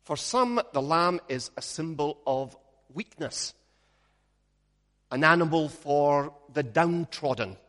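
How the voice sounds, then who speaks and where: A Scottish man, a minister, leads a prayer and then preaches, telling stories with a measured pace and gentle humor. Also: on the high side, lively intonation, long reverb tail. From Scotland. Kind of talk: sermon with opening prayer.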